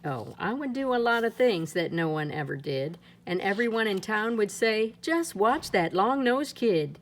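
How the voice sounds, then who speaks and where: A woman reading a rhyming children's picture book aloud in a playful, lilting way, her pitch swinging up and down. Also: animated, normal speed, a little hiss or hum. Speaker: storybook reader.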